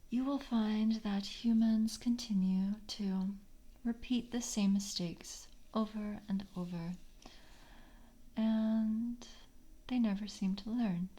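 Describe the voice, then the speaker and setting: A mellow, breathy female vampire, acted out by a woman in a soft ASMR roleplay. She is calm and jaded, screening with gentle, official politeness. Her delivery is slow and half-whispered. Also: mid-range voice. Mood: calm, world-weary.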